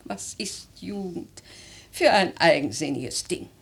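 A woman's voice: lowish voice, expressive, breathy edge, full character voice. Imperious old aristocratic grandmother. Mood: impatient, scolding. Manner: theatrical, measured, lyrical, with emphatic swells and hushed phrases. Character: aristocratic old grandmother, worldly, life-loving, imperious and impatient. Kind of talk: literary German radio drama acting.

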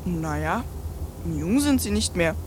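A woman's voice: lowish voice, lively intonation, takes it slowly, a little mellow, a little hiss or hum. An actress playing a brash, bossy, precocious little girl in a satirical radio play. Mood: nosy, blunt. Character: cheeky, foul-mouthed, bossy little girl.

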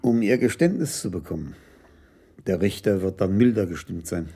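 An actor playing a composed, direct senior police commissioner in a German radio crime drama. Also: male voice, low-pitched, expressive, normal speed, mellow, slightly rough, exaggerated voice. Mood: stern, matter-of-fact.

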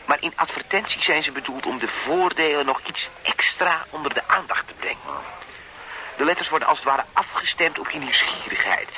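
A man's voice: medium pitch, sharp tone, slight background noise, rough recording. An actor playing a knowing former policeman who explains things patiently, with frequent tag questions, in a radio drama.

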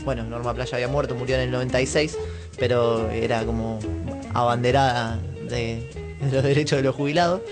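A man with an Argentine accent co-hosting a casual radio talk, often pausing as he recalls details. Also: mid-range voice, fairly even intonation. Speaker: radio show co-host. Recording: music clearly playing behind the voice. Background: music with guitar.

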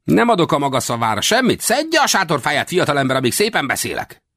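A man's voice: fairly low pitch, huge pitch swings, a bit bright, dry recording, clean studio sound, voice pushed up, exaggerated voice. A man voicing a cranky, proud little old Wild West hunter in a storybook, with blustering, exclamatory, folksy talk. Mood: indignant, blustering.

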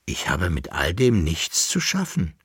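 A man's voice: low voice, wildly swooping pitch, a little slow, fairly bright tone, slightly rough, dry recording, studio quality, full character voice. A man voicing a proud, blunt young nobleman in an audiobook, with formal old-fashioned phrasing. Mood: curt.

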